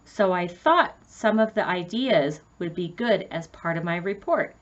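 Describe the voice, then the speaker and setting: A woman's voice: fairly low pitch, animated, a little slow, muffled recording. A woman voicing an earnest schoolboy giving an oral report in a storybook, in a plain manner. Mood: earnest, deliberate.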